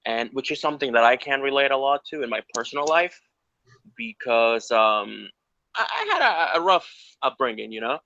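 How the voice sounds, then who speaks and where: Ecuador-born guy chatting earnestly, bright sharp voice, dry and kinda dull recording. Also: medium pitch, expressive, normal speed, no echo. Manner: earnest, rambling, lots of restarts. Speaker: interviewee. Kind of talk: casual interview chat. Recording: dull lo-fi sound.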